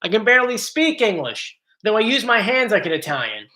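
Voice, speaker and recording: Bright-voiced joking male stream host. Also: high voice, very animated, brisk. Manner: chatty, joking, easily sidetracked. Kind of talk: casual live stream chat. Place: home, bedroom area. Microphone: computer mic.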